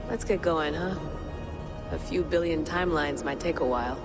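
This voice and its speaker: A woman's voice: low-pitched, moderate pace, music in the background, close to an everyday voice. An actress playing a determined, guilt-ridden young woman in a video game cutscene. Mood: somber resolve.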